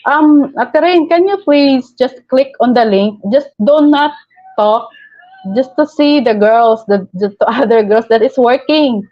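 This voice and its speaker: A woman's voice: sits high, measured pace, muffled recording. A woman from the Philippines with a Filipino accent, hosting a casual live stream, chatting and reading viewer comments aloud with frequent laughs.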